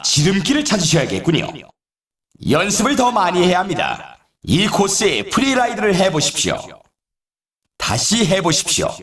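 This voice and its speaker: A man's voice: high-pitched, a bit bright, distant mic, heavily acted. A man playing a video game sports announcer and coach, speaking formally and emphatically in scripted lines. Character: excitable male snowboard game announcer and trick coach.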